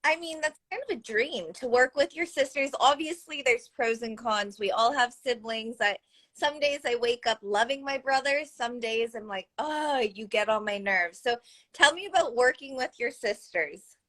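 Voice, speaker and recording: A woman's voice: on the high side, normal speed, a bit bright. Upbeat young woman interviewer. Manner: upbeat and encouraging. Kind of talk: live interview hosting.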